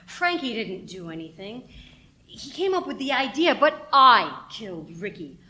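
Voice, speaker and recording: A woman's voice: fairly high pitch, sing-song, unhurried, bright, forceful tone, full character voice, poor audio. A woman playing a ruthless, persuasive radio station schemer with an assertive, emphatic delivery in a radio play. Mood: bitter, resigned.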